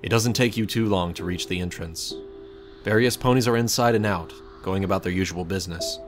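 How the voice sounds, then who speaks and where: Man, low-pitched voice, story narration, scripted second-person reading, soft music underneath. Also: brisk.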